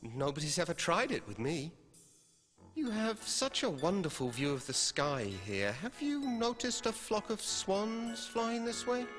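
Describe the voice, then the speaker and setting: An earnest young male fantasy hero. He speaks slowly with innocent wonder and a very mobile melody. Game music sits clearly behind him. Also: sits high, sing-song, a bit bright, heavily acted. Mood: polite, innocent. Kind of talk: fantasy adventure game acting.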